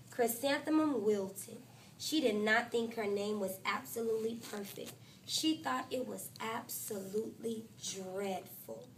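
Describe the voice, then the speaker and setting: A woman teacher reading a picture book aloud to her class, with lively, expressive storytelling. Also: distant mic, a little hiss or hum. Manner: expressive storytelling, voices quotes higher and louder.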